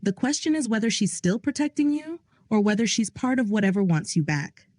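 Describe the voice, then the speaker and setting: A woman voicing a calm, commanding male boss. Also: on the high side, fairly quick, dry recording, clean studio sound, pitched above the performer's own voice. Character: controlled male mafia boss, calm and steady. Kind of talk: audio drama reading.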